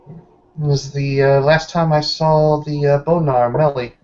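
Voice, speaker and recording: A man playing a thoughtful, evasive loner werewolf, halting and measured, in improvised tabletop roleplay. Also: fairly high pitch, fairly even intonation, a little mellow, close to an everyday voice. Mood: musing. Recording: dull lo-fi voice recording.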